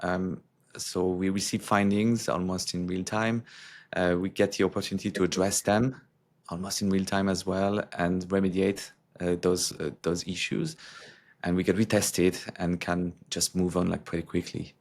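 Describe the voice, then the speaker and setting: Low-pitched, creaky-voiced man giving interview answers. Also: little pitch movement. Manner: halting, filler-heavy explanations. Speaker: security executive being interviewed.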